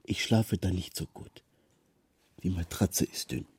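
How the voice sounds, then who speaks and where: An actor playing an anxious, evasive young Ghanaian man in a radio drama, speaking haltingly and naturalistically. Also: deep voice, darkish tone, husky, exaggerated voice. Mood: weary. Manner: hesitant, halting.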